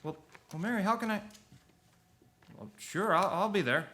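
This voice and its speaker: An earnest young small-town manager acted by a man with a high, bright voice. He stammers and doubles back on his words in flustered radio play style. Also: lively intonation, moderate pace. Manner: stammering, repeating words, pleading.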